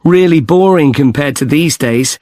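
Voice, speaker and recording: Actor as interested male student, slightly high voice, conversational, scripted discussion, dry studio sound. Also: moderate pace, a little mellow, dry recording, natural voice. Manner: engaged, conversational.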